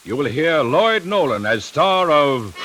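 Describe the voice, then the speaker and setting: A man's voice: on the high side, very animated, measured pace. A man announcing a drama show in dramatic 1940s radio style. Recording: vintage radio recording over loud music.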